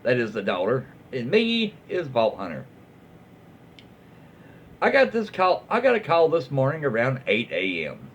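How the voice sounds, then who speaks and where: A man hosting and reading internet stories aloud in a folksy way, with dramatic pauses, emphasis and his own asides. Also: mid-range voice, wildly swooping pitch, dull audio, a little hiss or hum. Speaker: online story reader and host.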